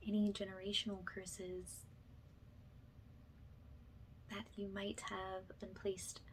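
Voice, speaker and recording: A woman speaking softly and breathily, often slipping into a whisper, gently reassuring in the style of a guided ASMR energy-healing session. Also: mid-range voice, slight background noise, rough recording. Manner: gentle, slow, reassuring, affirming.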